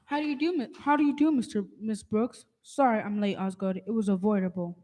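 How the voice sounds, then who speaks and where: A woman's voice: medium pitch, fairly even intonation, a little fast, mellow, natural voice. A woman playing a stuffy, dignified male school board official in a comic radio play, formal and stiff. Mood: formal, polite. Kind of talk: old-time radio comedy acting. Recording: echoey hall with long reverb.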